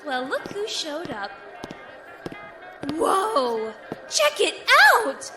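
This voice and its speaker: A woman acting in an audio drama as an excitable, casual, bubbly young sidekick. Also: sits high, extremely slow, over music, heavily acted. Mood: bubbly, excited. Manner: exuberant, casual.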